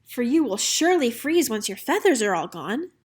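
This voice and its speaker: A woman reading a children's storybook as a baby seal with a frank, brisk manner. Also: on the high side, sing-song, husky. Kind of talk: children's storybook read-aloud.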